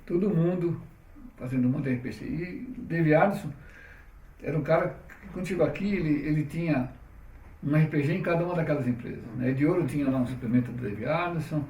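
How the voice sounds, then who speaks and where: A man's voice: fairly high pitch, fairly quick, a little mellow. Man telling stories in a roomy space. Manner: chatty, frequent "né?" tags, restarts. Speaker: interviewee, publisher. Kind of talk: relaxed conversational storytelling. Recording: roomy, distant mic, faint noise.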